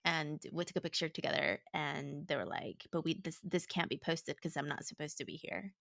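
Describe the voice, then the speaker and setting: A woman's voice: sits low, very animated, moderate pace, vocal fry, a bit bright, no room sound, clean studio sound. A woman hosting an interview, chatting casually and encouraging her guest with short agreements. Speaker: host.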